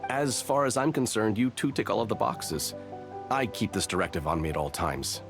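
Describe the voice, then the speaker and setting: A man's voice: on the low side, normal speed, over music, natural voice. A man playing a formal, by-the-book soldier. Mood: dutiful. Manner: formal and precise. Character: dutiful, by-the-book male soldier. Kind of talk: video game voice acting.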